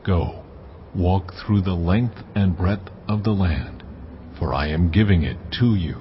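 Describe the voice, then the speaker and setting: A man voicing God in a dramatized scripture reading, speaking in a slow, deliberate, commanding way. The audio is dull and lo-fi. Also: deep voice, slight background noise. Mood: solemn. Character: God speaking to Abram, majestic and solemn.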